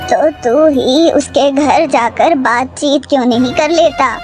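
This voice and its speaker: A woman's voice: sits high, takes it slowly, over music. A woman voicing an exasperated, plain-spoken village mother in a cartoon, by turns scolding and pleading. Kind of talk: Hindi cartoon acting.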